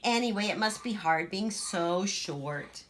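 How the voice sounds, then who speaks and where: A woman's voice: mid-range voice, animated, a little slow, a little hiss or hum, natural voice. A woman voicing a bossy, boastful, chatty little girl in a children's storybook, with an emphatic, gushing know-it-all manner.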